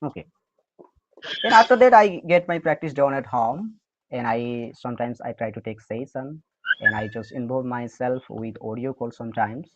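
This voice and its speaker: A man's voice: medium pitch, muffled recording, slight background noise. A man from Odisha, a native Odia speaker, talking about learning English in a casual chat, with an Odia accent and a habit of saying "okay" between thoughts. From Odisha, India. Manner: animated, fills pauses with "okay". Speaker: interview guest, English learner. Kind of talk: casual conversation on a call.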